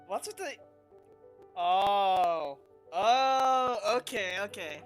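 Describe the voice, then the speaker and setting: A woman's voice: medium pitch, lively intonation, drawn-out words, faint music behind. A woman streamer chatting casually over a game, laughing often and reacting to the scenes. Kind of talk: casual gameplay commentary.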